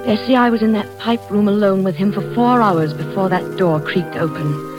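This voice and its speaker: A frightened governess, played by an actress in an old-time radio drama. Her voice trembles and pleads, sitting at a middle pitch. Music plays clearly behind her. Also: moderate pace, natural voice. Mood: reflective. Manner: tremulous, pleading, rising to screams. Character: frightened governess woman trapped in dark.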